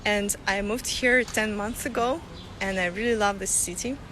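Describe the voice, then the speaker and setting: A woman's voice: mid-range voice, fairly even intonation, moderate pace, a bit bright. A young woman from Russia leading a live-streamed walking tour outdoors, talking loosely and laughing often. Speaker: tour guide. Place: sunny city streets outdoors. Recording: phone audio with street noise.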